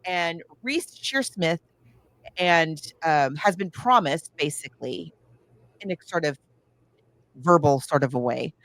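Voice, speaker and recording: Low-voiced woman, casual and emphatic. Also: lively intonation, slow, pauses often, a bit bright, rough recording. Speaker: podcast co-host. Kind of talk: casual film discussion, recapping a plot.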